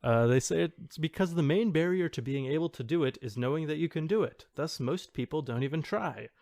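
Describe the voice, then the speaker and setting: A man co-hosting a podcast, casually summarizing online threads in a hesitant, halting way. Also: on the high side, lively intonation, darkish tone, dry recording. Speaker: podcast co-host.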